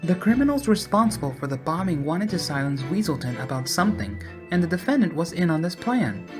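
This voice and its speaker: A woman voices an earnest, flustered cartoon police officer in an audio drama. The voice sits fairly low and swings in pitch, deferential and hesitant, under loud music. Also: sing-song, fairly quick. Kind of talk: fan audio drama acting.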